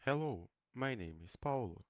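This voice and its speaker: A man's voice: medium pitch, expressive, moderate pace, no room sound, dull audio. A young man from Brazil steadily reading a scripted presentation. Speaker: student presenter. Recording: faint music behind.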